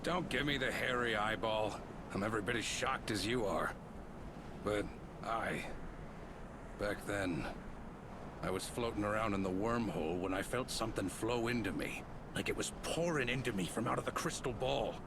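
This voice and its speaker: An actor playing a gruff, folksy man with a soft heart in a video game cutscene, talking in a colloquial way. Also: lowish voice, moderate pace, noisy background, close to an everyday voice. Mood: defensive. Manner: colloquial, folksy drawl phrasing. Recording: loud music behind the voice. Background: loud game music.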